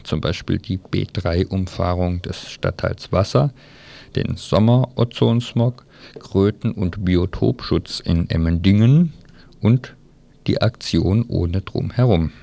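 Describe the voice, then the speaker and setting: Low-pitched, gravelly man announcing radio programmes. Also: warm tone.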